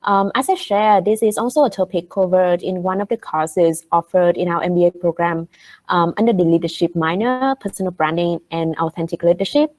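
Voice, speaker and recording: A woman's voice: fairly low pitch, brisk, darkish tone. A woman moderating a webinar, introducing the speakers with scripted lines and frequent "um". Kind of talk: event hosting.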